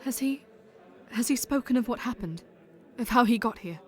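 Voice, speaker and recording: An actress playing a devoted young Victorian woman in an audio drama, speaking in a tender, earnest, soft-spoken way. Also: a little high, animated, normal speed, close to an everyday voice. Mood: anxious.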